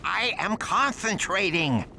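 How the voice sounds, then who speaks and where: A man's voice: high-pitched, expressive, slow pace, creaky, bright, forceful tone, slight background noise, full character voice. A man playing a prickly, pedantic nerd technician with a pompous, precise, jargon-heavy delivery. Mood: indignant, pedantic.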